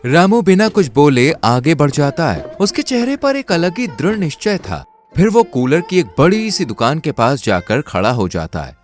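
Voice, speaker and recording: A man's voice: a little high, sing-song, moderate pace, faint music behind. A man narrating a scripted cartoon story.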